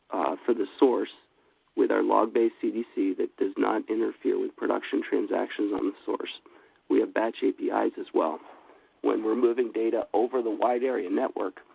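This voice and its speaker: A low, gravelly man with a cold presents at a steady, businesslike pace, with frequent fillers. The sound is thin and phone-like and very dry. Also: normal speed, no room sound. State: has a cold. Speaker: webinar presenter. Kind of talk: scripted product presentation.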